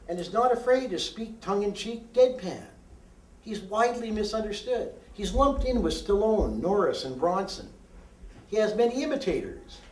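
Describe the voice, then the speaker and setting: A man's voice: high voice, darkish tone. Echoey, distant male poet reading aloud. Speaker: poet reading own work. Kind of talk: live poetry reading, declamatory. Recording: roomy echo, distant microphone.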